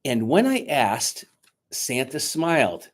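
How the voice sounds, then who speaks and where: A man reading a picture book aloud dramatically to children, pausing to coax them with questions like a teacher. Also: mid-range voice, big pitch swings. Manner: dramatic, teacherly, coaxing young listeners with questions.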